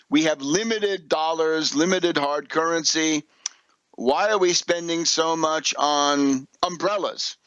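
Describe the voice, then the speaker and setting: A man hosts a political radio monologue in a somewhat high-set voice. He lectures didactically, posing questions and checking that the point lands. The sound is close and dry, with very little room around it. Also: a bit bright, no echo, highs cut off. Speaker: radio show host and political commentator. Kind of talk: political and economic commentary monologue.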